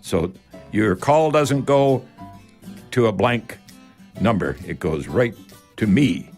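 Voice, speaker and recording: A man's voice: lowish voice, big pitch swings, takes it slowly, a little mellow, faint music behind. An older Canadian man hosting a home improvement call-in radio show in a folksy, rambling, emphatic way, speaking straight to his listeners.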